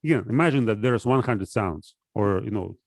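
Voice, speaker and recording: Halting man explaining ideas, dry sound. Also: lowish voice, animated, dry recording. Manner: halting, full of restarts and fillers while explaining. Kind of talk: casual conversation.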